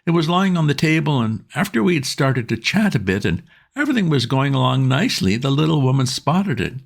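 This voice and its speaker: A man doing a flustered young gentleman. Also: mid-range voice, very animated, brisk, vocal fry, pitched above the performer's own voice. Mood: aggrieved. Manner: agitated, exclamatory.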